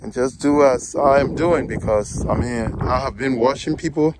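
A man giving a casual outdoor walkthrough of his farm plot, talking haltingly with many fillers and pleading with viewers to subscribe. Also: medium pitch, noisy background. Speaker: vlogger giving a farm tour.

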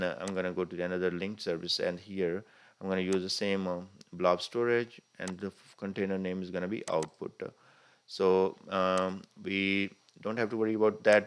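Male tutorial narrator with a low voice, explains each click plainly and fills pauses with "uh". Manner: frequent "uh" fillers, step-by-step explaining. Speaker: tutorial instructor. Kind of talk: screen-recorded software tutorial. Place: voice-over recorded over the screen capture.

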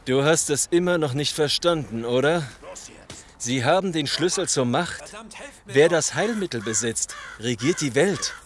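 An actor playing a ruthless, domineering gang leader in a German audio drama, commanding and condescending with menace. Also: male voice, on the high side, expressive, unhurried, soft background music. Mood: cold, commanding.

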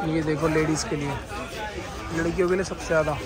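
A man's voice: on the high side, fairly even intonation, a little fast. A male vlogger walking through a crowded outdoor market, casually pointing out goods and prices on a handheld phone. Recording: loud crowd noise. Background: crowd and traffic.

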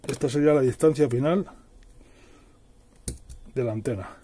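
A man's voice: mid-range voice, moderate pace, mellow, slight background noise. A middle-aged man giving a casual hands-on how-to, explaining plainly step by step. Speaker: presenter of a DIY tutorial. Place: indoors at a worktable.

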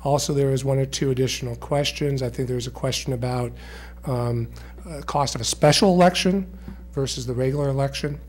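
A man's voice: mid-range voice, a little mellow. Man presenting at a board meeting. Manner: measured, with frequent fillers and restarts. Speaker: school district administrator presenting to the board. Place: school board meeting room. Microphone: desk microphone.

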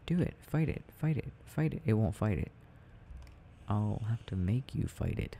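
A young adult man rambling softly and casually in a quiet, sleepy murmur as he plays a game, in an ASMR style. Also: fairly low pitch, animated, moderate pace, creaky. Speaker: audio roleplay creator. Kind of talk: soft casual rambling while gaming, ASMR sleep aid. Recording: faint background noise.

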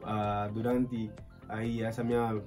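A young man in his twenties with an Angolan Portuguese accent, the channel host, telling a story in relaxed casual chat full of slang and fillers. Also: on the low side, slow pace, soft background music. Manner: relaxed, fills with "yeah" and "tipo", slang. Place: indoor room, flag backdrop.

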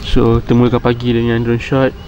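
A man's voice: mid-range voice, monotone, brisk, darkish tone, lo-fi sound. A young man with a Malaysian accent, chatting casually and joking while he films himself at an outdoor campsite. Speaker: vlogger. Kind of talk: casual vlog chat.

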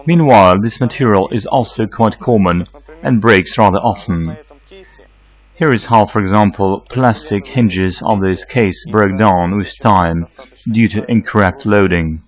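A man in his forties giving a measured, scripted how-to explanation as a technical consultant. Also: lowish voice, highs cut off. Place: electronics workshop, indoors.

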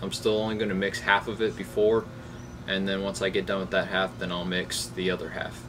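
A man's voice: low-pitched, monotone, a little fast. A man in his twenties talking casually through a how-to job as a DIY video host, recorded outdoors on a camera mic. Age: 20s. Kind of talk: casual how-to vlog talk. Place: outdoors beside a shed. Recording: clearly noisy outdoor ambient hum.

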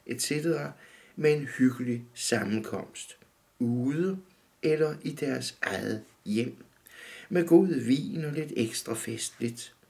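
A man in his fifties calmly reading his own short story aloud in a dim room, measured and steady. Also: mid-range voice, vocal fry. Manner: calm, measured reading, often looking down at the text. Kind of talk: literary reading.

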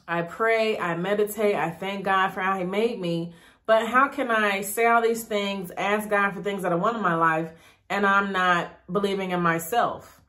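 A woman in her thirties speaks straight to her viewers in a relaxed vlog. She sounds upbeat and encouraging, like a big sister cheering others on. She often repeats words for emphasis. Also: mid-range voice. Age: 30s. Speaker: YouTube vlogger. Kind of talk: casual encouraging vlog talk.

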